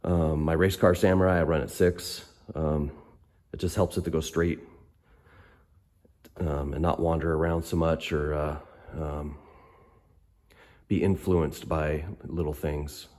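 A middle-aged man with a hoarse voice from a cold, explaining a suspension lift in an unhurried, hesitant way with many pauses and ums, filmed on a handheld phone in a garage workshop. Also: very deep voice, level tone, darkish tone. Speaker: off-road fabricator. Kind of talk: casual technical walkthrough. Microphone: handheld phone camera.

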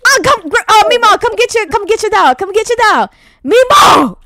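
A woman's voice: very high voice, big pitch swings, moderate pace, noisy background, exaggerated voice. A woman playing a sassy, exasperated granddaughter who bosses everyone around in an improvised amateur roleplay. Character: sassy, jumpy grown granddaughter taking charge.

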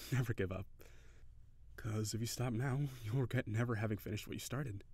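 A man playing a cheery, shy, flirty kitsune barista in an intimate audio roleplay, speaking hesitantly and giggly, with gentle encouragement. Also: on the low side, fairly even intonation, moderate pace, slightly rough, no room sound. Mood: earnest, encouraging.

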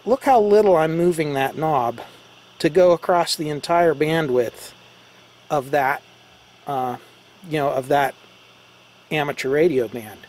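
A man's voice: a little high, a little slow, long pauses, a little mellow. A man giving a casual, conversational hands-on explanation, taking it step by step as a hobbyist presenter. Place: indoors beside radio equipment.

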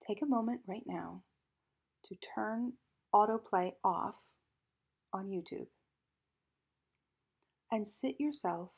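A woman narrates a guided meditation at a gentle, measured pace, leaving space between phrases. Her voice is mellow and mid-pitched, heavily breathy and soft, often thinning to a near whisper as phrases end. The delivery stays calm and instructional.

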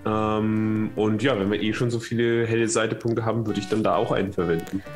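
A man's voice: on the low side, little pitch movement, a little fast, faint music behind. A man talking casually as a player in a tabletop game.

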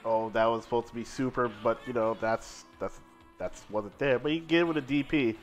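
Excitable man commentating a stream. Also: mid-range voice, moderate pace, soft background music. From Seattle. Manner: excitable, laughs often, reads chat aloud. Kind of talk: casual live fighting-game commentary.

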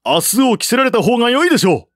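Male narrator as alarmed, loyal soldier, storybook epic, urgent pleading calls, raised pitch. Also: big pitch swings, fast, no echo, studio quality, full character voice. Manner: urgent calling out. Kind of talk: dramatic audiobook character reading.

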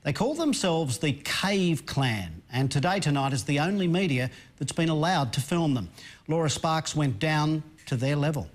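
A man's voice: fairly high pitch, expressive, normal speed. A man presenting a current-affairs story with a punchy, teasing introduction. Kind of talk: news introduction.

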